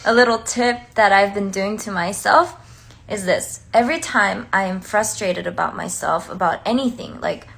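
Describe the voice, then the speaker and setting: Woman, mid-pitched, chatty livestream talk, heartfelt, lots of "like.". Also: moderate pace, vocal fry, a bit bright. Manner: chatty, heartfelt, many "like" fillers. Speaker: singer and dancer on a livestream. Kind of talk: casual livestream chat.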